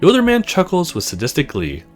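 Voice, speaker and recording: Expressive man narrating, pitch swinging widely. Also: quick pace, faint music behind. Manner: expressive, acts out the dialogue. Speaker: let's player. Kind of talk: narrated visual novel playthrough, reading dialogue aloud with asides.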